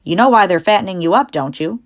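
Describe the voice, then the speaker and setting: A woman's voice: medium pitch, animated, fast talker, close to an everyday voice. A woman voicing a blunt old female sheep in a storybook, talking in a matter-of-fact, snappish way. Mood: ominous.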